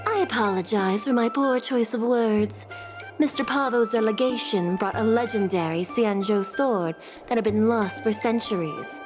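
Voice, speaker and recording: A woman's voice: on the high side, normal speed, lightly acted. A woman voicing a polite, poised court secretary in a video game cutscene, speaking in a courteous and formal way. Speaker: palace secretary. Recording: game cutscene over music. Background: background music.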